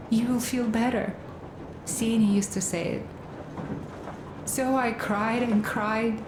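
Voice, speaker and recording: A woman's voice: mid-range voice, natural voice. An actress as a weary grieving mother in a roomy space. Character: grieving weary mother telling of loss and exile. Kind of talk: video game cutscene monologue. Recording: roomy sound with clear ambient noise.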